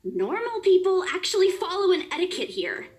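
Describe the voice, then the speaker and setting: High, exasperated young professional woman. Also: very animated, normal speed. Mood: dry, scolding. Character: exasperated, guarded young woman working as estate agent. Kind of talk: visual novel game voice acting. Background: video game music.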